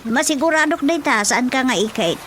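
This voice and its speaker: A woman's voice: sits high, animated, a little fast, a bit bright. A woman with an Ilocano accent playing a skeptical, scolding member of a household, questioning and grumbling in a radio comedy. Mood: suspicious, grumbling. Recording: clear background noise and ambience.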